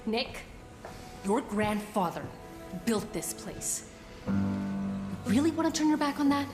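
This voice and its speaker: An actress playing a firm, exasperated wife with an American accent in a video game cutscene. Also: female voice, fairly high pitch, animated, unhurried, reverberant, in a large hall. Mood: reproachful. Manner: firm, reproachful. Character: firm, exasperated pregnant wife standing her ground. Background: music.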